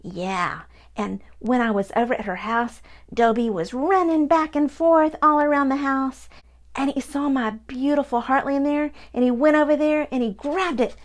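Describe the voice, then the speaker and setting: A woman voicing a sad, tearful little boy puppy puppet who talks in halting bursts. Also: medium pitch, creaky. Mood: upset.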